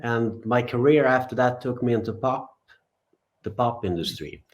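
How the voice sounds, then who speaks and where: A man in his forties from Finland, a guest musician giving relaxed, rambling interview answers. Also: medium pitch, normal speed. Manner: relaxed, rambling, many fillers and restarts.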